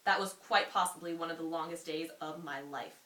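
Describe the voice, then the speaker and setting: A woman's voice: sits low, a little fast, pushed and bright. A woman reading the part of an anxious, lovestruck male teacher from a story, earnest and confessional. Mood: yearning, uneasy. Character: lovestruck, anxious male teacher narrating his secret affair. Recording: roomy echo, faint hiss.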